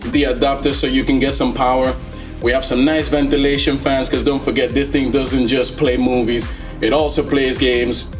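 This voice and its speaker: A man giving casual, enthusiastic unboxing commentary as a tech reviewer, in a playful, slangy, joking manner. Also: medium pitch. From Netherlands. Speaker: tech reviewer, video host.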